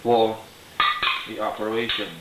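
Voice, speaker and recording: Guy doing a diy repair demo, really slow n careful, roomy echo, bit of noise. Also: fairly low pitch, completely flat delivery. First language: not English. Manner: halting, careful, step-by-step. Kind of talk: unscripted how-to narration. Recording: echoey room, light noise, clicks.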